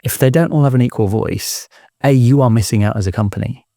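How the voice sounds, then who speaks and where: A man's voice: mid-range voice, fairly quick, vocal fry, warm tone, no echo, studio quality. A British man speaking reflectively as an interview guest, thoughtful and checking his points with little tag questions. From United Kingdom. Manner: thoughtful, peppers points with "right?". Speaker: interview guest, inclusion consultant.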